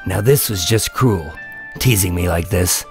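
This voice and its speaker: A man playing an undercover agent in a radio drama, telling his story with deadpan, wry tension. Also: low voice, a little slow, music in the background. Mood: tense, wry. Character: wry, nervous undercover agent posing as mob enforcer. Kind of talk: first-person noir radio drama narration and dialogue.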